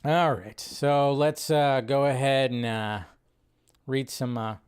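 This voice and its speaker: A man's voice: mid-range voice, fairly even intonation, slow pace, smooth. A man hosting a casual film news livestream, rambling with lots of fillers and restarts as he reads out viewer questions and answers them offhandedly.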